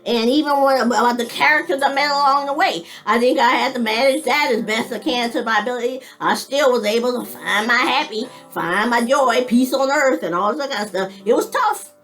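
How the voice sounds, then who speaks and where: A woman's voice: on the high side, a little fast, hardly any pauses, a bit bright, faint music behind, rough recording. A woman in her fifties talking to camera in a casual personal monologue, rambling with frequent restarts and folksy, informal phrasing, laughing between thoughts. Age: 50s. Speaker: vlogger.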